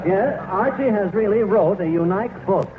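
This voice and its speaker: A man's voice: high-pitched, normal speed. A man working as a radio announcer, reading scripted copy in a 1940s style. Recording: noisy, tinny 1940s radio broadcast.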